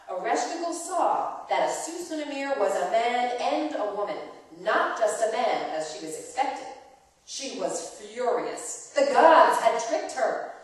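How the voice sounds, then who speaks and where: A woman telling a myth aloud in a dramatic reading, expressive and explanatory, as if to children. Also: mid-range voice. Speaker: storyteller. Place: church, performed live before listeners. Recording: very echoey church hall, distant microphone.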